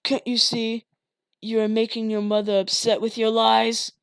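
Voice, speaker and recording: A woman's voice: fairly high pitch, completely flat delivery, moderate pace, vocal fry, smooth, no echo. A woman playing a stern, menacing father. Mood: angry. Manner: harsh, hissing, commanding.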